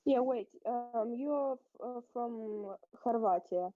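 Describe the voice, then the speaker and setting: A woman's voice: on the high side, slow, dry recording. A woman chatting casually on a livestream, playful and teasing, hesitating with frequent ums. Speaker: livestream co-host. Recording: dull lo-fi stream audio.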